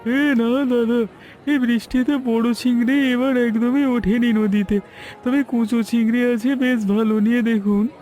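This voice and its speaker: A man's voice: very high-pitched, steady tone, unhurried, darkish tone. A man playing a helpful, courteous village fishmonger in a cartoon story. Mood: helpful selling.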